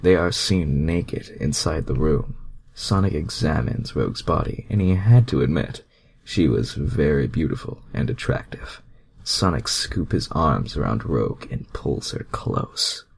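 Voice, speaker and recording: A man's voice: rumbling low, sing-song, unhurried, vocal fry, breathy edge. A man reading fan fiction aloud as an amateur narrator, with sweary, self-mocking, exasperated asides.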